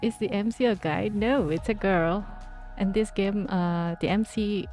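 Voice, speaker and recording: A woman with a mellow, soft-edged voice reads the game's story aloud, then drifts into casual, thinking-aloud chat with her viewers. Faint music sits under her voice. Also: medium pitch, unhurried. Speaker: streamer. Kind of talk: reading game text aloud and chatting with viewers.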